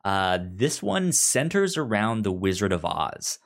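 A man's voice: lowish voice, very animated, unhurried, creaky. A man hosting a podcast in casual chat, stuttering as he repeats sounds and syllables. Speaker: podcast host.

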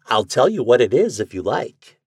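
A man voicing an eager, twitchy, unhinged man in an audiobook story, speaking with urgent, conspiratorial excitement. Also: medium pitch, moderate pace, slightly rough, no echo, clean studio sound, pitched above the performer's own voice.

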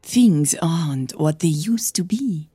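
A woman as composed older mother, husky and unhurried. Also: sits low, animated, warm tone, no room sound, studio quality, heavily acted. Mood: serious, frank. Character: composed, amused older mother, wealthy and frank.

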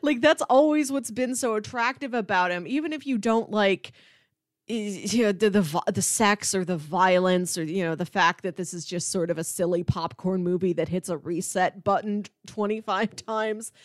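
Female podcast co-host, mid-pitched, very dry sound, casual but articulate film talk. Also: normal speed, no room sound.

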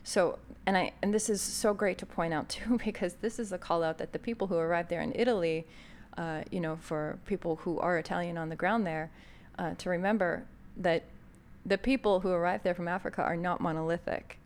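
A woman's voice: fairly low pitch, fairly even intonation, brisk, hardly any pauses, slight background noise. An American woman hosting a podcast, introducing an episode in a thoughtful, conversational way with frequent fillers. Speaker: podcast host.

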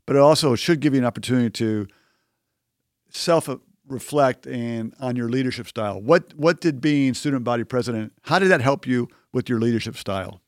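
A man's voice: mid-range voice, normal speed, no room sound, studio quality. A man interviewing a student, asking encouraging follow-up questions in a conversational way.

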